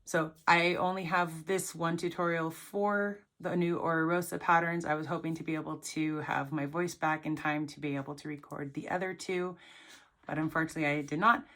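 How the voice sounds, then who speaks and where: A woman with a hoarse, cracking voice from allergies, chatting casually through a step-by-step sewing tutorial with lots of fillers. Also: deep voice, fairly even intonation, moderate pace, fairly bright tone. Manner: chatty, upbeat, many fillers like "like" and "oop". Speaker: sewing pattern designer teaching a tutorial.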